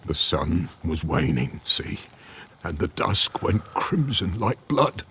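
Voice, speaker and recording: Haunted rustic villager, British accent, low slow voice, hoarse, mournful, video game. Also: male voice, big pitch swings, a bit bright, no room sound, heavily acted. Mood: haunted dread. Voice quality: hoarse, raspy, creaky.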